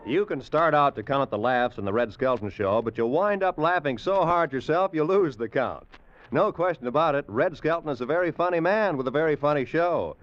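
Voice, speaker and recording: A man's voice: a little high, animated, brisk, faint music behind. A male radio announcer giving an upbeat, polished promo read in 1940s broadcast style. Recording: dull old radio broadcast.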